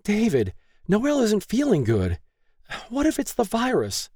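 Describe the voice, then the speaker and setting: Man voicing a frightened, pleading mother, pitched high. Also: wildly swooping pitch, measured pace, darkish tone, dry recording, studio quality, full character voice. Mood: wary, anxious. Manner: pleading, anxious, strained. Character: frightened, battered young mother, pleading and protective. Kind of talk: audiobook character acting.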